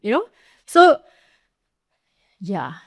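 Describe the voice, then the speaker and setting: A woman's voice: medium pitch, huge pitch swings, extremely slow, no echo, studio quality. A young woman from Singapore with a Singaporean accent, hosting a podcast and telling stories in a chatty, easygoing way. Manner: chatty, storytelling, frequent "like" and "you know". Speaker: podcast host. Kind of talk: casual conversational interview.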